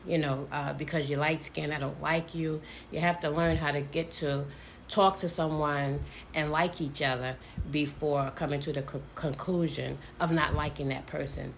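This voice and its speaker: Middle-aged woman, low and gravelly, measured conversational delivery. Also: steady tone, slight background noise. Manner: measured, hesitant with fillers. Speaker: mother. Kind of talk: casual conversation.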